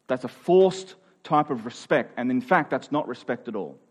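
A man's voice: medium pitch, expressive, moderate pace. Conversational male pastor preaching. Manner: conversational preaching, rising to emphatic peaks. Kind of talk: sermon.

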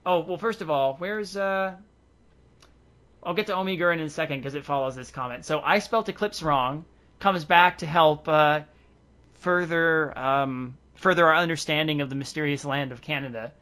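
Podcast host, male, bright and forward tone, loose rambling banter. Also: fairly high pitch, moderate pace. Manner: rambling, laughs often. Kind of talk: casual chat.

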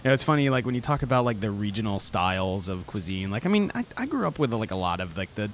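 A man's voice: on the low side, big pitch swings, quick pace. A man from Florida hosting a casual chat, rambling and peppering his talk with "like" and "you know". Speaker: interviewer, host. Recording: clear background noise.

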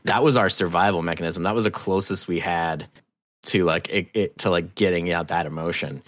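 A middle-aged man from the US East Coast, a podcast guest chatting casually and effusively, with halting restarts and swearing. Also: sits low, a bit bright, no room sound.

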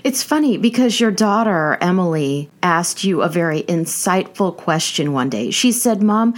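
A woman's voice: on the low side, expressive, normal speed. A woman interviewing a guest, prompting her with questions and recalling her stories back to her.

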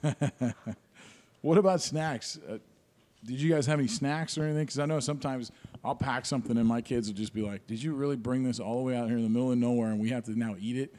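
A man's voice: medium pitch, fairly even intonation, quick pace, darkish tone. Chatty, friendly male podcast host. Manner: friendly, chatty, laughs easily, many fillers. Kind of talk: casual interview chat.

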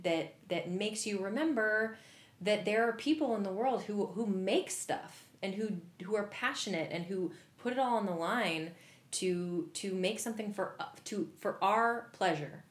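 A woman's voice: mid-range voice, reverberant. A woman hosting an interview, chatty and enthusiastic, full of "like" and "you know". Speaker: interview host. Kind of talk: casual interview.

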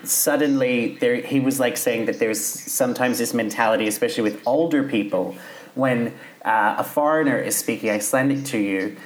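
Chatty, laughing male podcast host. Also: mid-range voice. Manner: chatty anecdotal storyteller, laughs often. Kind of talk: casual comedic chat, storytelling. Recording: roomy echo with faint noise.

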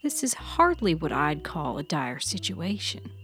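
A woman's voice: low voice, sing-song, measured pace, fairly bright tone, soft background music, full character voice. Woman reading as nervous, determined young woman. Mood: mock scolding. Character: Maddie, nervous but determined, gently teasing young woman.

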